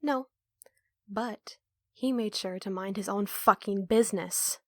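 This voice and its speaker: A woman playing a sardonic, self-assured young team mastermind in an audio roleplay, with a dry, measured delivery. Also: mid-range voice, darkish tone, no room sound, close to an everyday voice. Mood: curt, defensive.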